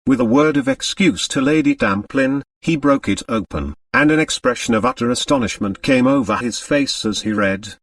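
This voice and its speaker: A man narrates literary fiction with very animated, varied intonation. The recording is dry, with the voice sitting slightly back from the microphone. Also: mid-range voice, normal speed, vocal fry, hardly any pauses, no room sound, distant mic. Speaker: audiobook narrator.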